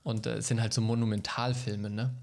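A middle-aged man co-hosting a podcast, chatting casually and rambling through stories in a relaxed way. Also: on the low side, fairly quick, vocal fry. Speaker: podcast co-host. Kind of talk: casual chat.

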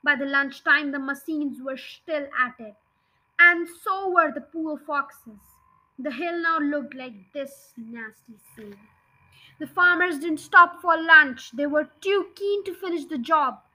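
A woman reading a children's story aloud as host, in an expressive, emphatic way. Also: high-pitched, takes it slowly, rough recording. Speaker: storybook reader, podcast host. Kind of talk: expressive children's audiobook narration.

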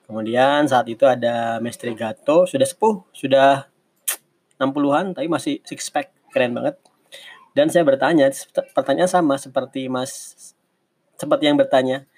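A man's voice: mid-range voice, moderate pace, a little mellow. A man, giving casual advice in a conversational, explanatory way as an online mentor, often correcting himself and wandering off on asides. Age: 40s. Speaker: online mentor answering audience questions. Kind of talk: casual advice talk in a live session.

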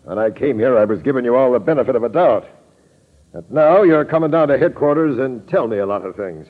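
A tough police captain played by a man in a 1940s radio drama. He is brusque, sceptical and sarcastic, with a mid-pitched voice squeezed through a thin, tinny old broadcast. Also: lively intonation, brisk, close to an everyday voice. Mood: stern.

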